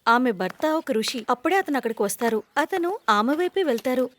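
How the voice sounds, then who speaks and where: A woman's voice: high-pitched, animated, fairly quick, a bit bright. A woman narrating a scripted moral story for a cartoon.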